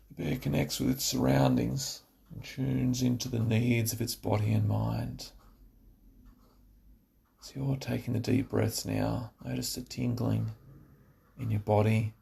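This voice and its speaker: A man narrating a scripted guided relaxation, giving slow, instructive phrases with pauses. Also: lowish voice, creaky, mellow.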